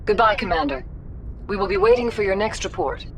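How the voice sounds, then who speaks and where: A woman playing a composed, diplomatic councilor in a video game, with a measured, formal manner. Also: mid-range voice, animated, slight background noise, lightly acted. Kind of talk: video game dialogue acting.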